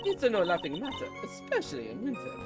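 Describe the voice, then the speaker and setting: Woman as elderly female witness, video game character, folksy, chatty, dramatic grumbling, very animated pitch, background music. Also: quick pace. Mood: complaining. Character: chatty elderly temple nun witness with a bad back.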